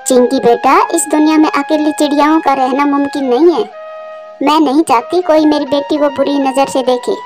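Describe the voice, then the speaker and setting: A woman's voice: sits high, steady tone, normal speed, darkish tone, faint music behind, close to an everyday voice. A woman voicing an anxious, devoted young widowed mother bird in a cartoon, with an earnest, pleading way of talking. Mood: worried, pleading. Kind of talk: Hindi moral-story cartoon dialogue.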